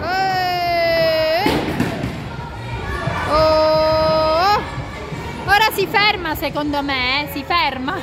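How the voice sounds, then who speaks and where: Excited woman cheering at a noisy bowling alley. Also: very high voice, extremely slow, long pauses. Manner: excited cheering, announces scores. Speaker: parent filming a family game. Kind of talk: playful running commentary. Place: busy indoor bowling alley. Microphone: handheld phone camera. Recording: loud crowd and music behind.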